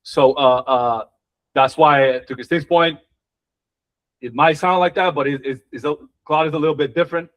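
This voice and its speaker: A man's voice: on the high side, moderate pace, fairly bright tone. A man who is a real estate agent, talking casually in a team meeting, hesitant, with many restarts and fillers.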